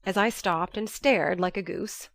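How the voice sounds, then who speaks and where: A woman narrates a classic novel in the first person, measured and expressive. Her voice sits slightly low and is recorded very dry and close, without room sound. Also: creaky, no echo. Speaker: audiobook narrator.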